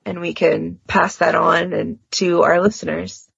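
A woman's voice: fairly low pitch, little pitch movement, measured pace, vocal fry, a bit bright, slightly rough, no room sound, dull audio. A woman hosting a fandom podcast in a casual, informal and explanatory way, with some hedging. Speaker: podcast host.

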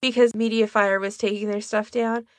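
A woman's voice: medium pitch, flat delivery, moderate pace, no room sound. A woman podcast co-host in casual conversation, talking informally and thinking aloud as she goes. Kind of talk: casual chat about fan hobbies.

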